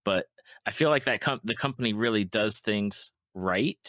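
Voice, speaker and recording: A man with a low voice hosts a casual podcast chat. He speaks haltingly, often doubling back on his words, in a dry recording. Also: fairly bright tone, no room sound. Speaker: podcast host. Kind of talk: casual chat about video games.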